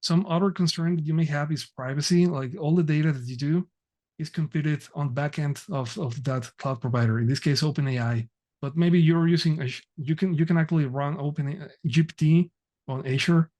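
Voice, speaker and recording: A man presenting an online technical workshop over a video call, talking haltingly with many fillers and restarts. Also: fairly high pitch, darkish tone.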